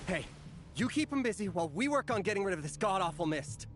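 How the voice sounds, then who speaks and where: A brash battle ally from a video game. He speaks quickly in a high voice, blunt and animated, with music clearly behind. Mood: brisk, urgent.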